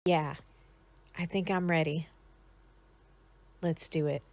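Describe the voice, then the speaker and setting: A woman playing a devoted, lovestruck teenage girl, tender and softly resolved in a motion comic drama. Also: low-pitched, steady tone, dry recording, clean studio sound. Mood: hesitant, resolved. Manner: slow, tender.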